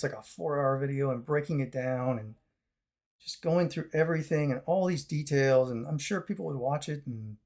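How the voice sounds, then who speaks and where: Raspy-voiced man, rambling and reflective. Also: mid-range voice, a little mellow. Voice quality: raspy, chesty. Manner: rambling, with many fillers and restarts. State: recovering after a long fast. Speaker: vlogger. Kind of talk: casual talk to camera.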